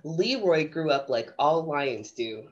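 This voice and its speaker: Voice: medium pitch, animated, slow, lo-fi sound. A woman reading a children's storybook casually, with playful, theatrical asides to the audience. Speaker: drag storytime guest reader. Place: video stream in front of a green screen.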